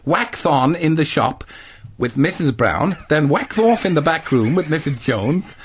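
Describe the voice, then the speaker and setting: A man's voice: fairly high pitch, lively intonation, measured pace, slight background noise, pitched above the performer's own voice. A man voicing a fussy, vain and naive dandy husband in a comic storybook. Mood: innocently explaining.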